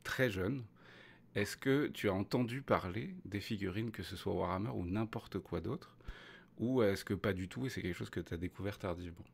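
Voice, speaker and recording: A man's voice: lowish voice, normal speed, gravelly, breathy edge. A French man hosting a casual interview, asking questions with many hesitations and restarts. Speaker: host.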